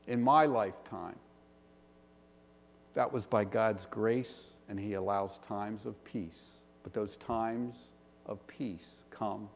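A pastor from the Pittsburgh area with a Western Pennsylvania accent, preaching earnestly, asking rhetorical questions and stressing slow lists. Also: male voice, fairly low pitch. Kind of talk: sermon. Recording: long reverb of a large hall.

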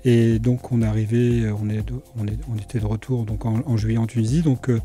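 A middle-aged man from France, answering interview questions in a hesitant way with many pauses. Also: lowish voice, level tone, warm tone, soft background music. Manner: hesitant, many fillers and restarts. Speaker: guest, video producer.

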